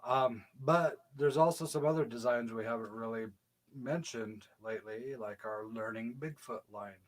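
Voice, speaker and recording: Man, soft-spoken, quiet, casual livestream chat, mid-pitched voice, off-mic. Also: normal speed, low-quality recording. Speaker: co-host. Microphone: shared desk mic, off-axis.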